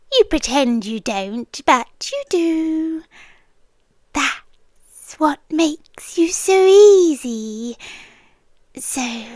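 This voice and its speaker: A woman's voice: high-pitched, no room sound, full character voice. A British woman playing a possessive, doting yandere landlady girlfriend in an ASMR roleplay, cooing intimately and slipping into whispers close to the mic. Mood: smug, coaxing. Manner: cooing, breathy asides that drop into whispers. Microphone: close, dry microphone.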